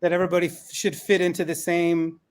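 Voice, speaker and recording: A man's voice: high voice, flat delivery, quick pace, smooth. A man who works as an educator, giving considered answers in a panel talk.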